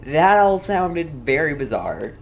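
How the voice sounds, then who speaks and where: Low, slow-talking game streamer, amused. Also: female voice, sing-song. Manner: interjecting, echoing phrases, amused. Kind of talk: casual live reactions to a video game.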